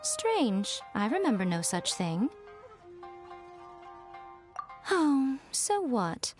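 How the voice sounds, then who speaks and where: A woman playing a sly, self-important, elegant lady in a video game, teasing and coy with drawn-out phrasing. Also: a little high, big pitch swings, a little mellow. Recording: music behind.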